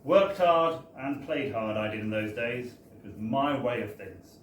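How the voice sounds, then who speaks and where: A man's voice: mid-range voice, measured pace. Echoey British man reading a comic story live. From Britain. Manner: chatty, wry, theatrical storytelling with tag-on phrases. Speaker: reader at a book launch. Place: bar, live event. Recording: echoey live bar, distant mic, faint noise.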